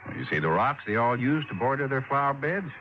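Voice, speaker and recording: An actor playing a methodical, plain-spoken fire chief in 1950s radio drama, explaining things insistently. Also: male voice, medium pitch, very animated, brisk, natural voice. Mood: earnest, explaining. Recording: dull, noisy old radio recording.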